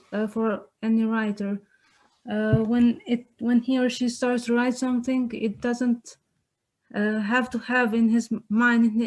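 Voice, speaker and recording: High, flat-toned young Kosovan woman. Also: low-quality recording. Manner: earnest, searching for words. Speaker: playwright on a panel. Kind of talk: unscripted panel answer. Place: online webinar.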